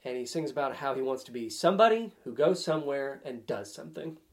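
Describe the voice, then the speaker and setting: A man with a mid-pitched voice talks through movies in a loose, comedic chat. He is sardonic and drops casual swear words as he goes. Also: lively intonation, fast talker, crowd noise. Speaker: podcast guest reviewing movie trailers.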